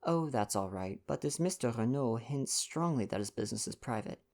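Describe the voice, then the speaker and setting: Detective's companion read by a man: cheerful English gentleman, eager and hearty, old-fashioned storybook manner. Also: mid-range voice, lively intonation, normal speed, close to an everyday voice. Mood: curious. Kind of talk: storybook dialogue reading.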